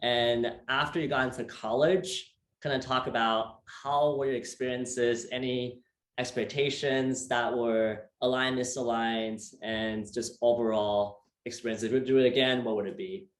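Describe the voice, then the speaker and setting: A man's voice: medium pitch, steady tone, unhurried. A young man from Taiwan hosting a casual interview, upbeat and full of fillers and repeated words. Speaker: host. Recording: echoey room.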